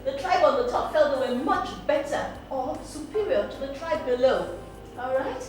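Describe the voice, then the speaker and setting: A woman telling a story to children, pausing to explain and ask questions. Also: sits high, animated, darkish tone. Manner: explains words, asks questions. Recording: echoey, distant mic, music behind.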